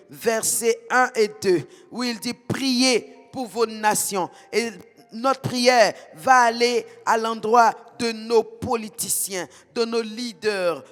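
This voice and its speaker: A man with an Ivorian French accent leading fervent prayer in a rhythmic, chanting way, repeating phrases with intensity. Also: sits high. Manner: intense, rhythmic repetition, bursts into tongues. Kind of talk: fervent morning prayer, sing-song chanting. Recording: reverberant hall with soft music behind.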